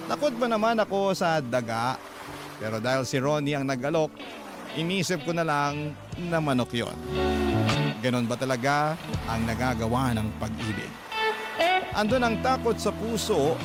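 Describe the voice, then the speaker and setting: Male storyteller over loud music. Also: mid-range voice. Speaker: storytelling radio host reading a letter. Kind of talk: first-person scripted dramatic narration. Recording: loud music bed under voice.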